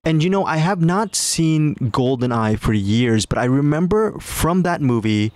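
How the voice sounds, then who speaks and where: A man's voice: on the high side, animated, a little slow, darkish tone. A man co-hosting a podcast who rambles as he reminisces, restarting and repeating his phrases. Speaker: podcast co-host. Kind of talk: casual podcast chat, reminiscing.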